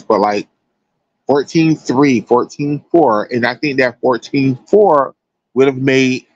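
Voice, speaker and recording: A man's voice: medium pitch, no echo, lo-fi sound. An American man giving casual, chatty sports commentary as a livestream host, emphatic and slangy.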